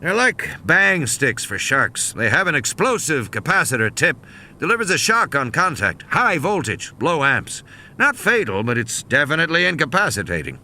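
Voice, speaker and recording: A man's voice: on the high side, big pitch swings, moderate pace, sharp tone, slight background noise, pitched above the performer's own voice, exaggerated voice. A man voicing a practical male game warden in an audiobook, matter-of-fact and explanatory. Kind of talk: audiobook character dialogue.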